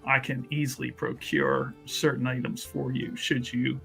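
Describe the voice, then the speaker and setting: A man's voice: mid-range voice, lively intonation, measured pace, creaky, fairly bright tone, husky, faint music behind, pitched below the performer's own voice, exaggerated voice. A man playing a scheming, self-important nobleman-like character in a tabletop game, with formal, silky persuasion. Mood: persuasive, scheming.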